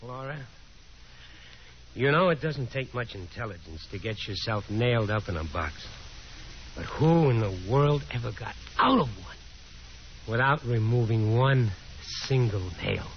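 An actor playing a bitter, restless young American son, sardonic and theatrical, in 1950s radio drama style. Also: male voice, medium pitch, animated, measured pace, slight background noise. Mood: bitter, wistful. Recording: dull old radio broadcast recording.

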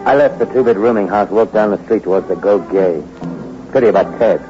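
Bitter hard-boiled young fugitive, male actor, low-voiced and slangy, narrating a vintage radio thriller on a dull recording. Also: brisk, music in the background, close to an everyday voice. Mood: grim, determined. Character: bitter young fugitive convict hunting his wife for revenge. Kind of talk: old-time radio crime drama acting with narration.

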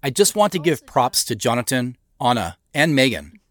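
A man giving scripted director's commentary in a measured, explanatory manner into a close mic. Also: mid-range voice, sing-song, fairly bright tone. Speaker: show creator and commentator.

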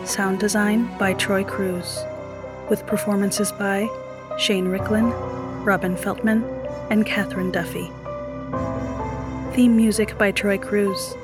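A woman's voice: medium pitch, little pitch movement, slow pace, pauses often. A woman reading scripted podcast credits as an announcer. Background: new-age music.

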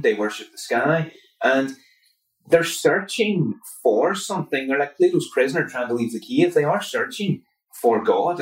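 A man from Northern Ireland with a Northern Irish accent, a guest academic answering interview questions in an earnest, explanatory way. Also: fairly high pitch. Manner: earnest, explanatory, builds points steadily. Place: conference venue. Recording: roomy, from far off mic.

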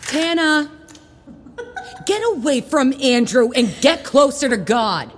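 A woman playing a bossy, shrill church helper who calls children in with drawn-out commands in an audio drama. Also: lively intonation, heavily acted. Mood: bossy, calling out. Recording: distant, reverberant hall.

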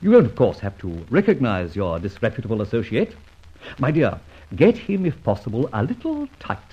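An actor playing a disgraced army officer turned adventurer in an old radio drama, with theatrical, old-fashioned gentlemanly phrasing and a husky voice. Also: male voice, mid-range voice, wildly swooping pitch, normal speed. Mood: amused, suave. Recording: dull, lo-fi old radio recording.

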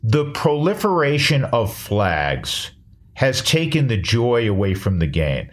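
A man's voice: mid-range voice, wildly swooping pitch, takes it slowly. A man hosting a casual interview, blunt and opinionated, with some mild swearing. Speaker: podcast host.

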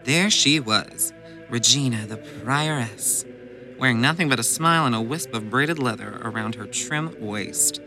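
A man's voice: medium pitch, unhurried, music in the background, close to an everyday voice, poor audio. A man playing a leering narrator of a bawdy tale, sultry and theatrical in scripted audio drama narration. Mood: lustful, dramatic.

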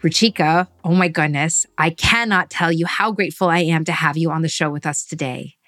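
A woman's voice: sits low, brisk, no room sound, faint music behind. A woman hosting a podcast interview, effusive and full of praise for her guest. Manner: effusive, warmly gushing praise. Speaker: podcast host.

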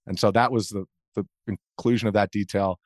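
An American man speaking as an interview guest, earnestly explaining his perspective in halting conversational phrases. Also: on the low side, steady tone, no room sound, clean studio sound. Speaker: interview guest, video journalist.

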